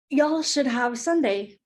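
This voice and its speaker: A woman playing a nervous, polite waitress who hides her strain, soft-spoken and hesitant, in a rehearsed screenplay read. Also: high-pitched, exaggerated voice.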